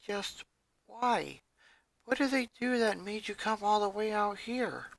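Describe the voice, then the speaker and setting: A story reader voicing a blunt but secretly caring young girl, with hushed, questioning delivery. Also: mid-range voice, expressive, measured pace, gravelly, no echo, pitched above the performer's own voice. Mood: hushed concern.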